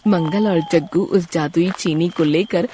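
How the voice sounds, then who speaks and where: A woman's voice: on the low side, unhurried, dark tone, a little hiss or hum. A woman narrator reading a scripted moral story in a storytelling style.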